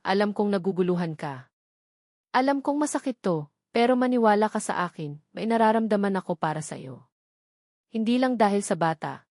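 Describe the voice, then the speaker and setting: A woman's voice: mid-range voice, darkish tone, dry recording, clean studio sound, close to an everyday voice. A woman voicing a pleading, frustrated young man in love, earnest and insistent, in an audiobook romance drama.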